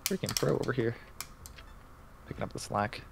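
A man's voice: mid-range voice, moderate pace, gravelly, background noise. A man chatting casually and with joking sarcasm as he plays a co-op speedrun, keyboard clicking behind him. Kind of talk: casual chat while gaming. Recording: faint keyboard clatter and clicks.

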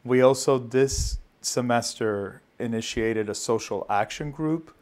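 A man's voice: mid-range voice, measured pace, darkish tone. A middle-aged man who works with immigrants, steadily explaining his work in an office interview on a lapel mic. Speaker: immigrant services staff member.

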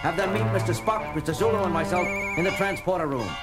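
An actor playing a decisive, authoritative starship captain in a 1970s audio drama. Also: male voice, sits high, fast talker, lightly acted. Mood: urgent. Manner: authoritative, clipped orders. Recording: loud music behind the voice.

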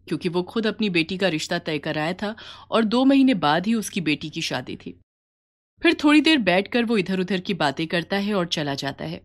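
A woman narrates a scripted story. Her voice sits at an average pitch for a woman, and she tells the tale in the third person as its narrator.

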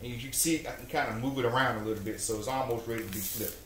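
A man hosting a home cooking show, explaining each step in a folksy, relaxed way. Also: mid-range voice, fast, slight background noise. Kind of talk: casual cooking demonstration. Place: home kitchen at the stove. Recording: echoey kitchen room. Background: oil frying and sizzling in a skillet.

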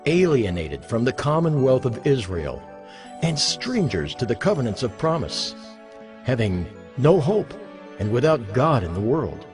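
A man reading scripture as a dramatized narration, solemn and measured, with emphatic phrasing. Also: mid-range voice, very animated. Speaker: Bible narrator. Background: music under the voice.

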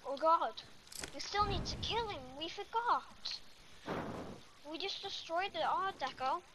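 High-pitched playful female gamer, very noisy recording. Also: animated, a little slow. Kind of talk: excited Halloween role-play while playing a video game. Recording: very noisy home game recording with clicks.

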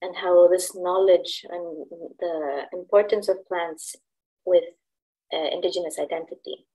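A woman in her thirties from El Salvador, a podcast guest speaking in a relaxed, laughing way with many fillers over a video call. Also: lowish voice, measured pace, rough recording. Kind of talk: casual interview. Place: home room, video call.

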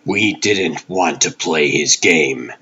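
A man voicing a grim, resolute masked vigilante hero in a comic dub, grave and deliberate. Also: rumbling low, slightly rough, highs cut off, full character voice. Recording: loud music behind the voice.

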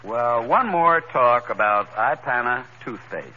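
A man's voice: mid-range voice, animated, a little slow, bright, forceful tone. A man hosting a 1930s radio comedy show, trading brash tough-guy banter. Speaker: comedy host. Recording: dull old radio broadcast with faint hiss.

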